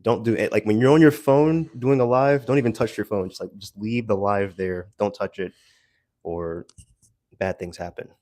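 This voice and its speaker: A man giving a casual, rambling audio log as a solo creator, haltingly searching for words with self-deprecating asides. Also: on the low side, steady tone, a little mellow, no echo, studio quality.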